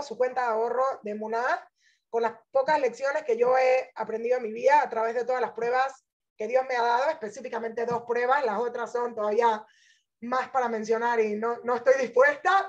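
A woman, teaching through personal stories in an informal religious talk, dropping in English phrases. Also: high-pitched. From born in Venezuela, grew up in Panama. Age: 30s. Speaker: Torah teacher. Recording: dull lo-fi audio.

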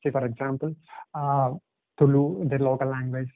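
Indian english guy, telugu is his first language, slow halting way of talking, sounds like a dry phone call. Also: mid-range voice, level tone, no room sound. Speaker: podcast guest. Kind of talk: casual interview, telling travel stories. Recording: dry, tinny phone-like line.

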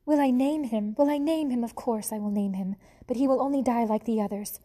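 A woman's voice: fairly high pitch, brisk, dark tone, lightly acted. A woman reading aloud and voicing a vain, theatrical mother mouse with a French accent. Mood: melodramatic. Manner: theatrical, sighing, self-pitying.

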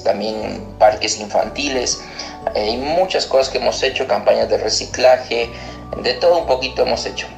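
A man's voice: mid-range voice, gravelly. A man in his thirties, raised in Costa Rica and born in Argentina, explaining his work as a pastor in an interview. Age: 30s. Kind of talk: recorded interview answer, explanatory. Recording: echoey room, music underneath.

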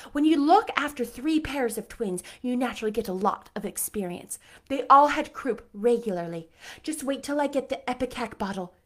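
An eager, imaginative young girl, put on by a woman reading a storybook aloud, talking quickly and earnestly in a high, bright voice. She is chatty and fond of grand words. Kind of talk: audiobook character voice.

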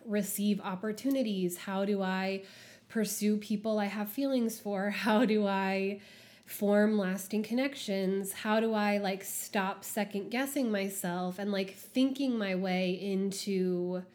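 A young woman hosting a casual solo podcast, chatty and full of "like" fillers, her voice stuffy and a little husky from a cold. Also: mid-range voice, darkish tone. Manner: chatty, frequent "like" fillers, laughs often. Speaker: podcast host.

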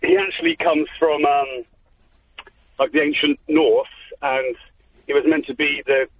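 A male caller with a husky voice explains things in a hesitant, halting way. He sounds set back from his phone, and the narrow phone line makes him tinny. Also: a little high, fairly even intonation, recorded from a distance. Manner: hesitant, filler-heavy explaining. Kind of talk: phone-in call.

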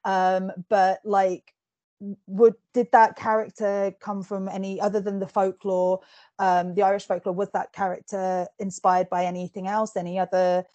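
A woman's voice: medium pitch, little pitch movement, moderate pace, darkish tone, no room sound, muffled recording. Giggly chatty woman host, dry sound. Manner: chatty, giggly, many fillers and restarts. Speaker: podcast host interviewing an author. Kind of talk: casual interview chat.

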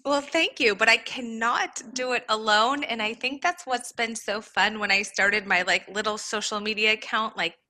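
A woman with a fairly high, bright voice hosts a podcast in an upbeat, chatty way. She welcomes listeners warmly and moves easily between introductions and questions. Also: normal speed, few pauses. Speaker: podcast host. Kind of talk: podcast interview, reading a sponsor ad.

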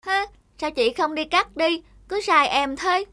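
A woman's voice: very high-pitched, measured pace, pushed and bright, no echo, heavily acted. A woman playing a stubborn young country girl with a childlike manner in a cartoon folk tale. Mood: whiny, defiant. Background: prominent background music.

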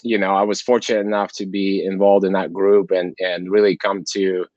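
Dull-sounding low-voiced man from Kazakhstan. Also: level tone, normal speed, darkish tone, no room sound. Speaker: interview guest, sports ministry leader. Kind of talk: conversational interview, personal story. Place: remote call. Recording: dull lo-fi call audio.

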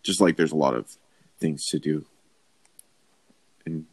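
A man speaking slowly and hesitantly in a casual chat with friends. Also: rumbling low, gravelly, slightly rough, dry recording. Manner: slow, relaxed, hesitant.

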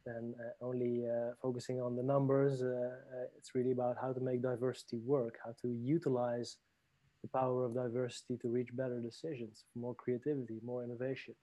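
A man from France, a guest on a podcast interview, speaking thoughtfully with many pauses and restarts. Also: mid-range voice, little pitch movement, mellow, no room sound, low-quality recording. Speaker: guest, leadership trainer.

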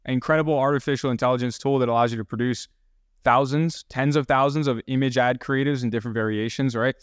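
A man hosting a podcast, introducing his guest in an upbeat, quick way. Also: mid-range voice, steady tone, smooth, no echo, clean studio sound. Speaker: podcast host. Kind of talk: casual podcast interview.

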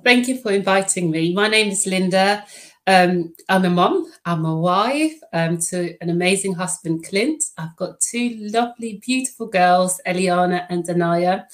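A middle-aged woman from the Netherlands, Dutch by first language, answering interview questions in a friendly, halting way with many fillers. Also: fairly low pitch. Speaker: podcast guest.

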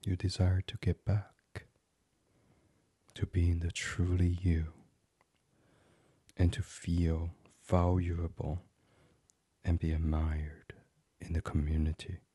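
A very low-voiced man leads a sleep meditation in an ASMR style. His voice is heavily breathy and husky, often close to a whisper. He speaks slowly and soothingly, pausing between short phrases. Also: flat delivery, no echo. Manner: soothing, hushed, gentle, with many pauses. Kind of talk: guided sleep meditation, soft ASMR reading.

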